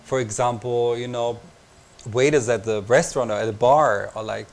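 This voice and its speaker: A young man from Austria with an Austrian accent, a native German speaker, chatting as a guest in halting English full of fillers. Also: medium pitch, fairly even intonation, vocal fry. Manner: halting, searching for words, many fillers. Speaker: visiting reporter, guest. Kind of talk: casual interview. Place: tv station room.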